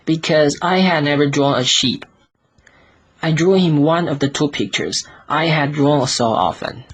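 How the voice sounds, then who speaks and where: A man with a fairly high, husky voice narrates a storybook. He reads deliberately, breaking the text into short, careful phrases. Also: fairly bright tone. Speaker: audiobook reader.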